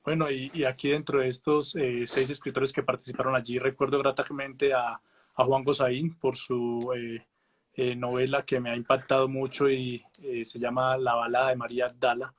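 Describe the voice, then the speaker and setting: A man's voice: medium pitch, flat delivery, normal speed. A man hosting a casual interview, asking long questions with hesitant fillers and tag questions. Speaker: host.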